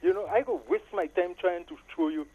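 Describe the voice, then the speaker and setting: A man's voice: high voice, wildly swooping pitch, fairly quick, creaky, narrow, tinny audio. A man with a Saint Lucian Caribbean accent giving emphatic opinions in casual patois-flavoured talk, often appealing to the listener. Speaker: caller to a live talk show. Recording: noisy call-in line.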